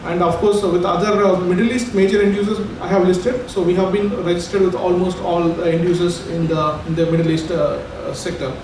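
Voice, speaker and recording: A man's voice: sits high, flat delivery, fairly quick, few pauses. A man giving a technical presentation, hesitant with many fillers, in a hotel conference hall. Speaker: company presenter. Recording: echoey hall from far off, noisy.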